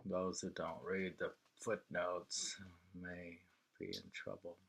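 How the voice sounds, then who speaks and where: Male reader, fairly low voice, very unhurried, reads from a book and muses aloud in a conversational way. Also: little pitch movement, a bit bright. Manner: unhurried, musing, pausing to hum and remark. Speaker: reader of a travel book and poems, commenting. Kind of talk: reading aloud with casual conversational asides.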